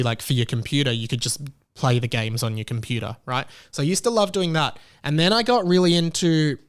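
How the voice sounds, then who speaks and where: A man, hosting a solo podcast in an excitable, rambling way. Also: mid-range voice, smooth. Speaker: podcast host.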